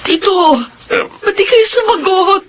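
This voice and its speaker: An actress playing a grieving young eldest daughter in a classic radio drama, talking in a tearful, pleading way. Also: female voice, very high voice, measured pace, a bit bright, a little hiss or hum. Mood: crying.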